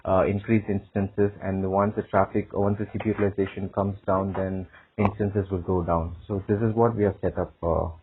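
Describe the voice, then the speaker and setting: A lowish-voiced man speaks over a thin, narrowband phone connection. He answers like a job candidate, hesitating and repeating short words as he explains himself. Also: flat delivery, normal speed, slight background noise. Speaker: job candidate in a technical interview. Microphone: phone call. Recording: narrowband tinny phone line.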